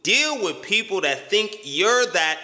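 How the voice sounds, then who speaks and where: A man hosting a reaction video in casual, slangy talk, incredulous and animated, protesting loudly. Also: slow, reverberant, long reverb tail. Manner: animated, incredulous, slangy, pitch jumps high when protesting.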